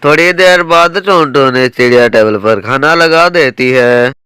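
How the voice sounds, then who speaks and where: A man's voice: mid-range voice, a little slow, pushed and bright, clear, resonant tone, no room sound. A man narrating a scripted children's story as a cartoon narrator.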